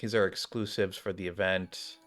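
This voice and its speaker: Low-voiced man grumbling through a game. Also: fairly even intonation, normal speed, fairly bright tone. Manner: grumbling, slangy asides. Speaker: game streamer. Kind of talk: casual gameplay commentary. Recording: game music behind the voice.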